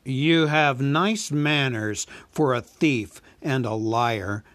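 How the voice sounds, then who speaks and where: A man voicing a huge, cunning male dragon who talks in a slow, sneering, drawn-out way. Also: mid-range voice, fairly bright tone, no room sound.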